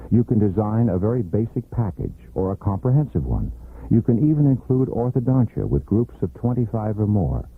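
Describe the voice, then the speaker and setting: A man's voice: on the low side, very animated, normal speed, husky. An American man announcing a scripted radio commercial, heard on a muffled 1980s radio recording.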